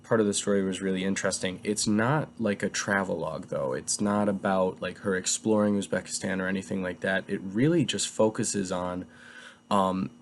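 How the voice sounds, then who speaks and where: Low, flat-voiced young man reviewing books. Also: moderate pace, a little hiss or hum. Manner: hesitant, rambling, many filler words. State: getting over a cold. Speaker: book reviewer. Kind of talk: casual book review.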